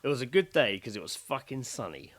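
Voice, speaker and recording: A man chatting casually as a podcast co-host, telling stories in an excitable, blokey, sweary way. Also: medium pitch, a little fast. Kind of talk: casual chat, animated storytelling.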